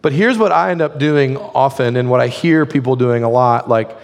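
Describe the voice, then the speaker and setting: Hoarse man preaching in a reverberant hall. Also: mid-range voice, normal speed. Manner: conversational, frequent "like" fillers. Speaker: pastor. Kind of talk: sermon.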